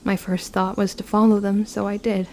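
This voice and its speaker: A woman's voice: medium pitch, flat delivery, normal speed, dark tone, close to an everyday voice. A woman playing a guarded young witch who narrates her story with formal, old-fashioned phrasing in an audio drama. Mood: brooding, reflective. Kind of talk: scripted first-person audio drama narration.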